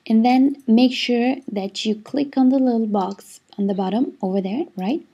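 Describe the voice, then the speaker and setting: A woman's voice: on the high side, normal speed, vocal fry, slightly rough, highs cut off. A young woman from Switzerland who speaks Italian natively, chatting casually to a webcam and explaining things step by step with plenty of fillers. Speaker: singer-songwriter. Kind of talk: casual vlog with screen walkthrough. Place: indoor room, webcam video.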